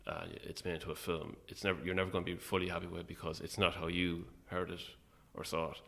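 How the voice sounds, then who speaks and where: A man's voice: low-pitched, flat delivery, quick pace, creaky, fairly bright tone. An Irish man chatting casually as an interview guest, a little hesitant and self-effacing. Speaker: guest, playwright.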